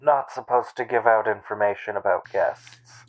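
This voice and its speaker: An actor playing a courteous, formal hotel front desk clerk in an audio drama. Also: male voice, lowish voice, normal speed, highs cut off, lightly acted. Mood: reluctant.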